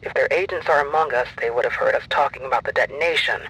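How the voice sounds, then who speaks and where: An actor playing a brisk field organizer in an audio drama, dry and matter-of-fact, with a husky voice over a radio link. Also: male voice, medium pitch, pushed and bright, rough recording. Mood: matter-of-fact, wry. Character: brisk male field organizer planning a covert operation. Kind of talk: naturalistic audio drama dialogue.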